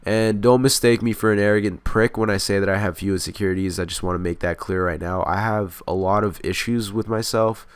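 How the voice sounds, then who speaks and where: A man's voice: on the low side, steady tone, brisk, hardly any pauses, clear, resonant tone, no echo. A congested, sick man talks in casual, rambling, profane gaming commentary, speaking inches from the microphone. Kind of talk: casual commentary over gameplay. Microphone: close mic, inches away.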